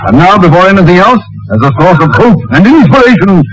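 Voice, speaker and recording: A man's voice: high voice, big pitch swings, fast. An actor playing a pompous, blustering, cowardly middle-aged man in 1940s radio comedy style, given to grand phrases and orders. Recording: noisy 1940s radio recording.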